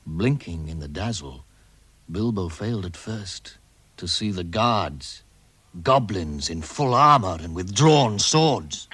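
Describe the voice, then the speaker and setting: A man narrating a vintage radio drama as a measured, dramatic storyteller. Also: low-pitched, animated, a bit bright, heavily acted. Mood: suspenseful. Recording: slightly noisy vintage 1960s radio recording.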